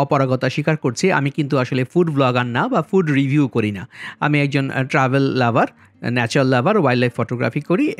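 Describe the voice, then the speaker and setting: A man narrating a vlog as its host, politely and in measured phrases, speaking directly to viewers. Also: on the high side, dry recording. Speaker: travel vlogger and host. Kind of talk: vlog voice-over narration with review commentary. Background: background music.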